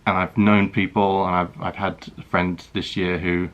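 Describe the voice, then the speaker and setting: A British man in his thirties, a food and travel vlogger, talking earnestly and reflectively to camera, with many halting restarts. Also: deep voice, level tone, highs cut off. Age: 30s. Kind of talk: earnest personal talk to camera.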